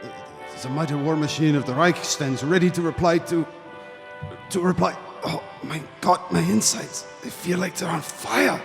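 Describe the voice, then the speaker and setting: An actor playing a German wartime propaganda broadcaster in a halting, pompous speech for a live audio drama. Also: male voice, fairly high pitch, natural voice. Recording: echoey hall, live stage recording, music behind.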